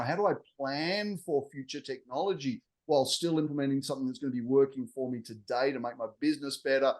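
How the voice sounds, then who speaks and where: A middle-aged man with an Australian accent, a guest explaining technology in a fluent, business-like way. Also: medium pitch. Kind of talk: interview answers.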